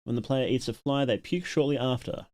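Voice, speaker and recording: A man's voice: medium pitch, fairly quick, a little mellow. A man casually commentating on a livestream, musing aloud and reading out viewer comments as he reacts. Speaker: livestream host reacting to a horror series.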